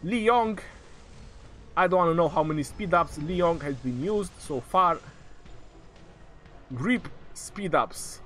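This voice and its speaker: Male live-stream game caster, a touch high, excitable and jokey, voice turning hoarse, light hiss behind. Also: slow, long pauses. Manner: excitable, joking play-by-play. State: voice going hoarse. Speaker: game match commentator.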